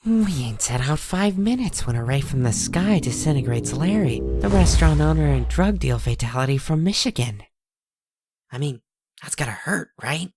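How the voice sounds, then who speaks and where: A man playing a cynical, hard-bitten dead man who narrates a comic dub in a husky, world-weary, folksy way. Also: a little high, lively intonation, moderate pace, darkish tone, faint music behind. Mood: dryly sardonic.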